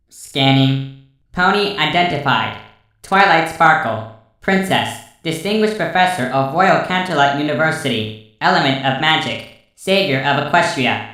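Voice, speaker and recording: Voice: medium pitch, a bit bright, recorded from a distance. A performer voicing a playful young female robot pony assistant with a synthetic, echoing voice, in an audio story. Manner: clipped machine-like status reports mixed with teasing. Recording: echoing processed sound.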